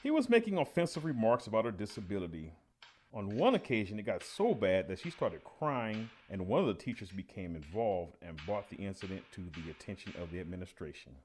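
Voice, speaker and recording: An actor playing an earnest veteran high school teacher giving a measured, official interview in a radio play. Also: male voice, very animated, darkish tone, a little hiss or hum. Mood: official, unruffled.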